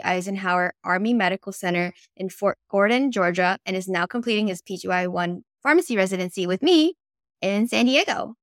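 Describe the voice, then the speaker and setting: A woman's voice: mid-range voice, dry recording, studio quality. A young woman hosting an interview podcast in a semi-casual way, often dropping "like" into her talk. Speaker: podcast host.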